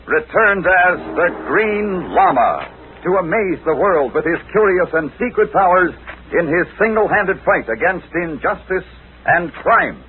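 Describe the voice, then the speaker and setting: High, dramatic old-radio male announcer. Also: very animated, unhurried. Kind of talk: 1940s radio adventure announcing. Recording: muffled, noisy 1940s radio broadcast.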